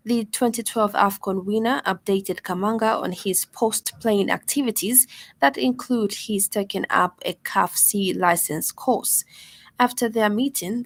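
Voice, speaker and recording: A woman with a Zambian accent reading a scripted news bulletin as a radio presenter in a studio. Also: medium pitch.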